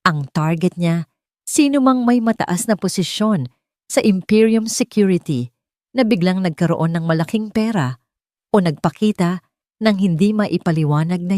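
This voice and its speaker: A woman with a Filipino accent narrates a scripted romantic drama as an audiobook. Her voice sits a bit low for a woman. The recording is very dry, with no room sound around her. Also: darkish tone, no echo.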